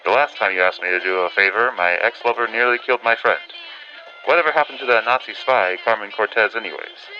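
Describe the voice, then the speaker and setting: An actor playing a wry, reluctant but dutiful 1940s radio correspondent in an audio drama, with old-fashioned announcer phrasing. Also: male voice, fairly low pitch, brisk, pushed and bright. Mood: uneasy, wary. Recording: dull band-limited audio with music behind.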